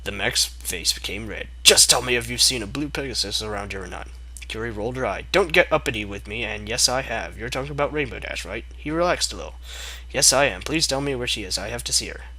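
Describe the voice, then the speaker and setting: Bright, animated young man reading fanfic aloud. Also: mid-range voice, fast talker, husky. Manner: sarcastic asides, mock-exasperated. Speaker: fanfic reader. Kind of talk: comedic dramatic reading.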